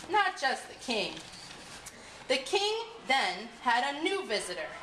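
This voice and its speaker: A woman's voice: high voice, fairly bright tone. A woman narrating a folktale from a script, reading it aloud as a storyteller. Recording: echoey room with clear background noise.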